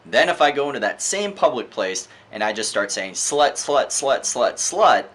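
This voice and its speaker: A man's voice: medium pitch, big pitch swings, moderate pace, a bit bright. A man giving an informal, opinionated book review monologue in a conversational, emphatic way, repeating words for effect.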